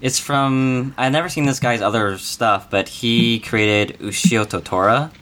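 A man's voice: mid-range voice, measured pace, a bit bright, slight background noise. A man chatting casually as a podcast co-host, explaining and reviewing in an informal way. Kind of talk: casual anime review chat.

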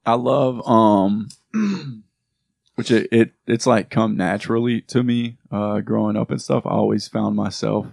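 A man's voice: fairly low pitch, unhurried, creaky, a little mellow, dry recording, studio quality. A man chatting casually on a podcast in a laid-back way, with plenty of fillers. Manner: laid-back, full of fillers like "you know" and "like".

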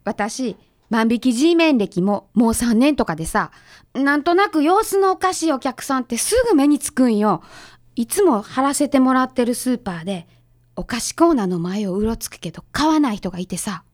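A woman's voice: a little high, expressive, moderate pace, close to an everyday voice. A woman playing a breezy, confident detective's assistant with a Kansai accent in a chatty radio-drama style. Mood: concerned. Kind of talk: radio drama acting, conversational.

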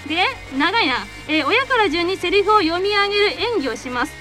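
Very high-pitched woman, fast-talking radio host. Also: lively intonation. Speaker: host of a radio talk and voice drama show. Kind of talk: lively group banter, hosting a board game. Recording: loud music under the voice. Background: loud music.

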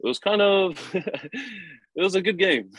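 A young man in his twenties, a rugby player answering interview questions in a relaxed, halting way with many fillers and chuckles. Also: sits high, breathy edge, no room sound. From New Zealand. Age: 20s.

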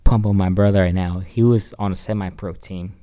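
A man co-hosting a podcast in casual banter, joking and halting as he repeats himself. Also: low-pitched, fairly even intonation, mellow. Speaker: podcast co-host.

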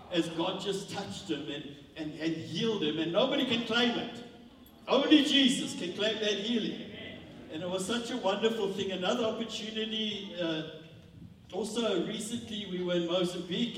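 A man's voice: high voice, a bit bright. A man in his fifties with a South African accent, preaching and telling his testimony in a church, with frequent restarts. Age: 50s. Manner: repetitive restarts, grows more fervent. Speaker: visiting preacher. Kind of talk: sermon with testimony. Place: church hall. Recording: echoey hall, voice from far off.

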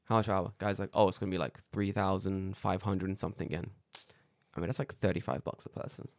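A man co-hosting a casual podcast, his voice a little raspy, telling stories with lively acted-out quotes and plenty of swearing. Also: sits low, quick pace, no room sound, studio quality. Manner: animated storyteller acting out quoted dialogue, swears freely. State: slightly raspy voice after karaoke. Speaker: podcast co-host. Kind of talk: casual chat.

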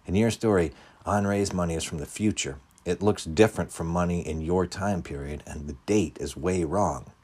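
A man voicing an earnest, worried young man in an audiobook story, speaking in a hushed, urgent way. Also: sits low, normal speed, slightly rough.

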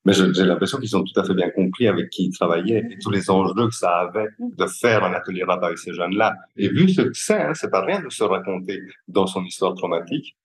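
A man's voice: deep voice, a little fast, hardly any pauses. A man with a Belgian French accent reflecting in an interview as a care worker, explaining hesitantly and searching for his words.

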